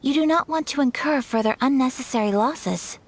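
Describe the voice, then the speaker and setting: An actress voicing a young noblewoman in a game, with polite, measured and formal speech. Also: sits high, a little hiss or hum, close to an everyday voice. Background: game music.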